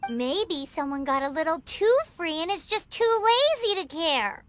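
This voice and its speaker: A woman voicing a tiny, sassy fairy girl companion with a squeaky childlike voice in a video game. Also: animated, moderate pace, a bit bright, soft background music. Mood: sassy, teasing. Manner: sassy, exclamatory. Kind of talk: scripted video game voice acting.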